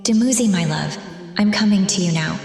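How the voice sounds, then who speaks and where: A resolute goddess, voiced by a woman, tells her own story in a dramatic audio drama. Her delivery is solemn, steady and earnest, with sorrow in it, and music plays clearly behind her. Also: mid-range voice, fairly quick, lightly acted. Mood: sorrowful, resigned. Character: resolute, sorrowful goddess heroine narrating her last stand. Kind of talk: dramatic first-person audio drama narration.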